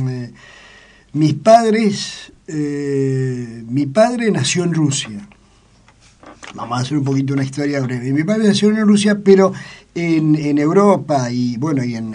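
Older Argentine man, native Italian speaker, fairly high voice, halting and full of fillers. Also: darkish tone. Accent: Argentine Spanish. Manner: halting, repeats words, many fillers. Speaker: interview guest. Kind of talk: casual radio interview.

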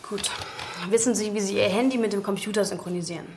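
A woman's voice: mid-range voice, fast talker, lightly acted. A woman playing a clever, self-assured young student in a radio drama, matter-of-fact and articulate. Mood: businesslike. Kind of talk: naturalistic radio drama acting. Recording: echoey room, faint noise.

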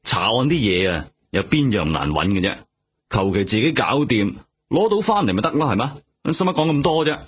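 An actor with a Hong Kong Cantonese accent playing a self-assured, offhand veteran police detective in a radio drama. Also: male voice, mid-range voice, sing-song, fairly quick, husky. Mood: offhand, cagey. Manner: offhand, streetwise.

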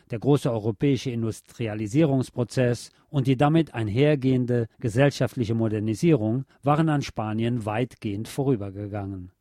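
A man reading a scripted historical documentary for radio in a measured, formal manner. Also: medium pitch, dark tone, no echo, clean studio sound. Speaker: radio narrator.

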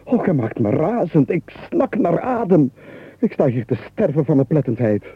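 An actor with a Flemish accent playing an exasperated rich nobleman, husky and melodramatic, in a radio comedy. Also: on the high side, big pitch swings, moderate pace, dry recording, heavily acted. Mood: exasperated, frantic. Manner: melodramatic, explosive outbursts dropping to confiding. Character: exasperated rich nobleman, driven frantic by chatter. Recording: muffled, phone-like old radio recording.

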